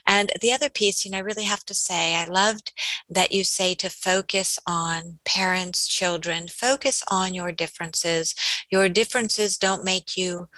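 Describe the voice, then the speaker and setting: A woman hosting a podcast, affirming her guest in a warm, effusive way. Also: lowish voice, steady tone, a little slow, no echo, rough recording. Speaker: podcast host. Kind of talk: podcast interview.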